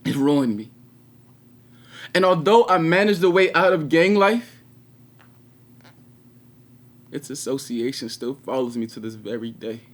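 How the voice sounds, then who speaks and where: An actor playing an anguished, regretful 21-year-old prisoner in a confessional stage monologue with dramatic pauses. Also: male voice, a little high, lively intonation, a little hiss or hum. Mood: bitter, regretful. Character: anguished 21-year-old male prisoner, former track star, bitter and regretful.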